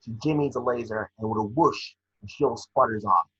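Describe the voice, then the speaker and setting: A man with a husky voice reading scene descriptions at a table read, sometimes stumbling over the script. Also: medium pitch, normal speed, a bit bright, muffled recording.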